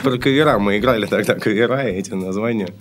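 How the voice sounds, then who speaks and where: A man's voice: lowish voice, quick pace, a crowd in the background. A man, a guest musician, chatting casually in a studio and telling easygoing anecdotes with frequent chuckles. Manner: easygoing storyteller, chuckles often.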